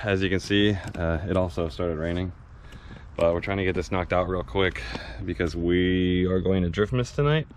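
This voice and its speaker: A man's voice: sits low, normal speed. A man casually chatting and narrating a van build for his vlog. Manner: relaxed, chatty, mutters while working. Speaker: vlog host. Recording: noisy background.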